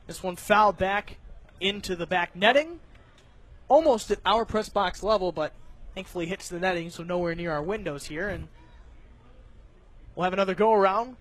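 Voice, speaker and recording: A man giving live baseball radio play-by-play commentary, narrating each pitch in measured detail. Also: a bit bright. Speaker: play-by-play announcer.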